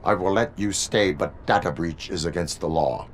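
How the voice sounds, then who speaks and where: An actor playing a stoic male cyborg police officer, speaking in flat, clipped, formal lines in a video game cutscene. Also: deep voice, normal speed, slight background noise, natural voice. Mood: stern.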